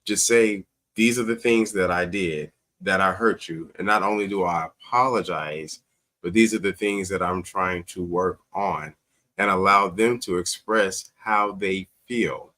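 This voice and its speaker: A man's voice: low-pitched, measured pace, sharp tone. A man talking as a show guest, giving earnest, conversational advice with emphatic repeated phrases.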